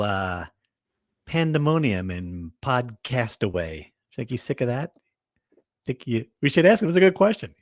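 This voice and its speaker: A man's voice: fairly high pitch, dry recording, clean studio sound. A man hosting a casual sports talk podcast, rephrasing mid-thought and leaning on words for emphasis.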